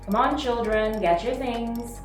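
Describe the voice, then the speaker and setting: A woman's voice: a little high, unhurried, pitched above the performer's own voice, close to an everyday voice. Woman acting out a furious, scolding betrayed mother, roomy sound. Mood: urgent, commanding. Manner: sharp, scolding, exclaiming. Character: furious, indignant mother betrayed by her sister. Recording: roomy, distant mic, music behind.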